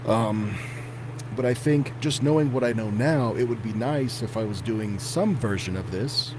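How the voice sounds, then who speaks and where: A man who narrates horror stories, chatting casually on a live stream in a laid-back, even tone and reading chat comments aloud. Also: mid-range voice, measured pace. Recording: noisy background hum.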